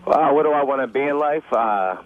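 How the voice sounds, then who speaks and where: A man's voice: medium pitch, lively intonation, a little fast, narrow, tinny audio. A man chatting casually on a talk radio show. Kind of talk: casual radio studio chat.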